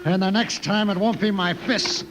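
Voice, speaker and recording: A man's voice: high voice, fairly even intonation, moderate pace, over music, lightly acted. A man playing a touchy, threatening ranch owner with a curt manner and an American Western accent in a radio western. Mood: hostile, threatening. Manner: curt, surly. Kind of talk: old-time radio western acting. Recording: dull, noisy vintage radio recording.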